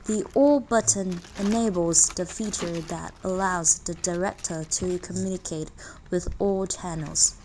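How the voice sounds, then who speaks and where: A woman's voice: on the low side, unhurried, dark tone, slight background noise, low-quality recording. A woman reading a scripted product overview in a formal manner, listing specifications. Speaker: narrator.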